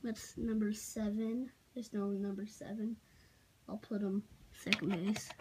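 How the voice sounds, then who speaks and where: A child giving a casual, halting show-and-tell narration while filming on a handheld phone in a room at home. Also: mid-range voice, flat delivery. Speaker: amateur toy video maker. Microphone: handheld phone behind the camera. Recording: noisy handheld home recording with clicks.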